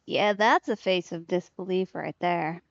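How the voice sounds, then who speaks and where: A woman's voice: lowish voice, a little slow, no echo, highs cut off. A woman game streamer giving casual, offhand let's play commentary in short asides.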